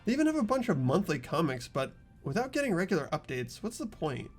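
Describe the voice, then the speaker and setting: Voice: medium pitch, very animated, fast, faint music behind. A playthrough host chatting casually with friends and reading game text aloud.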